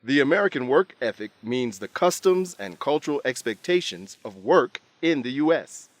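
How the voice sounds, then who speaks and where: A man narrating a scripted English lesson in a deliberate, instructive way. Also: mid-range voice, expressive, dry recording.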